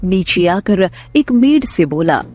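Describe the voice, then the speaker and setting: A woman's voice: on the low side, very animated, slow pace, a little mellow, faint music behind. A woman narrating a scripted romantic drama story as the storyteller.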